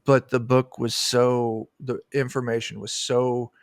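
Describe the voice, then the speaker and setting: A man's voice: medium pitch, level tone, measured pace, dry recording, studio quality. A middle-aged man, a guest game designer, chatting casually in an interview on a video call. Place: indoor room, on a video call.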